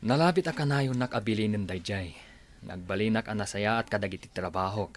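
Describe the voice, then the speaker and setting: A man acting as an obliging attorney aide in an audio drama. His voice is fairly low, deferential and eager to please, over some faint background noise. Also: fairly quick, close to an everyday voice. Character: loyal, deferential male attorney and chief of staff.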